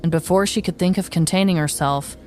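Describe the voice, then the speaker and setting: A woman's voice: on the low side, a little fast, a little mellow, soft background music. A woman podcast host narrating a scripted comedic story.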